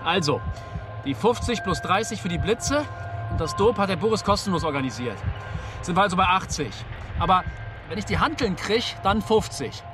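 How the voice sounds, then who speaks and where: Radio drama casting: stubborn, sarcastic young driver, high-pitched and animated, music in the car. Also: male voice, normal speed, recorded from a distance. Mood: conceding, shrewd. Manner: slangy, sarcastic, bargaining. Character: sardonic, haggling young driver, stubborn and shrewd. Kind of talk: naturalistic radio drama dialogue. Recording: inside a car, off-mic, music behind.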